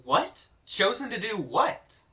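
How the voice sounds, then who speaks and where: Woman reading a bright, incredulous fantasy questioner. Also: medium pitch, very animated, slow, full character voice. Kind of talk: amateur table read with casual asides.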